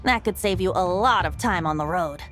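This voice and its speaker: Woman doing a teasing young bard guy, lilting, bouncy pitch. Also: lively intonation, normal speed, music in the background, close to an everyday voice. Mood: playful. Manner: lilting, playful storytelling. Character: playful young male bard, light and teasing. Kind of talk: video game voice acting.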